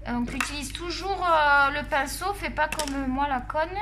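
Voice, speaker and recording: A woman's voice: high-pitched, unhurried, bright, forceful tone, a little hiss or hum. A woman with a Marseille accent chatting casually and jokingly to her viewers while she tests colored pencils at her desk. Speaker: art vlogger reviewing colored pencils. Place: at a desk at home, face off camera.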